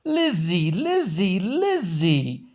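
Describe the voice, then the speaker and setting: A man voicing a gushing, effusive middle-aged small-town beautician woman in a storybook, with exclamatory, drawn-out delivery. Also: high voice, huge pitch swings, voice pushed up, heavily acted. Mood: gushing, delighted.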